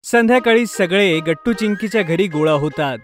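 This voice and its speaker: A woman's voice: mid-range voice, normal speed. A woman narrator reading a scripted children's story. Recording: music behind the voice.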